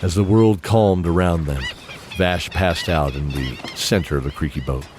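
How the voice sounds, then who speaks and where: A man narrating a scripted fantasy drama in measured, dramatic phrases with deliberate pauses. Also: low voice, background noise.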